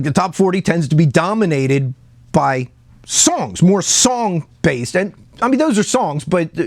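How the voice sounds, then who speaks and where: A man's voice: fairly high pitch, dry recording. A man giving casual, unscripted commentary as a video host, conversational and often repeating words as he searches for the next one.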